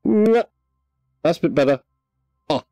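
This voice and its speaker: Slow, mellow gamer streaming. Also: male voice, a little high, no room sound, soft background music. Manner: relaxed, hesitant, with many ums and restarts. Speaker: livestream gamer. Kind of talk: casual livestream chat.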